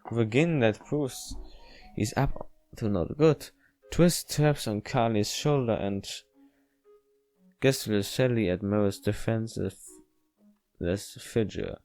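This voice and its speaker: A man with a fairly low voice and a non-native English accent hosts a let's play. He reads the story out loud in a halting, stumbling way and drops into casual asides. Soft music plays behind him. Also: low-quality recording. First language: not English. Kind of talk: reading a visual novel aloud with casual asides.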